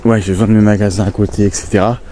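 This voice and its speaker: A man with a blocked, stuffy nose talks in a chatty, rambling way, recorded outdoors on a handheld phone. His voice sits low and mellow. Faint wind and outdoor noise run behind him. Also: racing. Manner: chatty, relaxed, rambling asides. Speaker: vlogger on a nature walk. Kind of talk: casual walking vlog to camera. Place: outdoors in the countryside.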